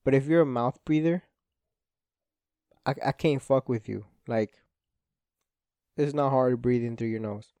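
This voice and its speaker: A young man whose was Spanish talks through a solo podcast episode in a loose, rambling way. He swears a lot and leans on "like" as filler. His voice is smooth, mellow and dark, and it is recorded dry and close. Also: medium pitch, a little slow, pauses often, no room sound. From Spanish-speaking home. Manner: rambling, profane, self-deprecating, frequent "like" fillers. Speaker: podcast host. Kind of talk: casual solo podcast monologue.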